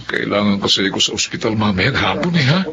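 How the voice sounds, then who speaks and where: An actor playing a conscientious, kindly Filipino clinic doctor in a Tagalog radio drama, giving gentle instructions. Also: male voice, lowish voice, animated, fast, noisy background, lightly acted. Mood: matter-of-fact. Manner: instructing, with softening tag questions. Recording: dull lo-fi audio, faint ambience.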